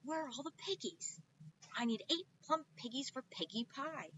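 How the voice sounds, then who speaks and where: A greedy, bossy witch from a children's storybook, put on by a woman reading aloud, with a shrill, screeching voice pushed well above a normal speaking pitch. She barks demands and tosses insults in a bright, sharp tone, loud and pushy. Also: animated, moderate pace, lo-fi sound, slight background noise. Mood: demanding.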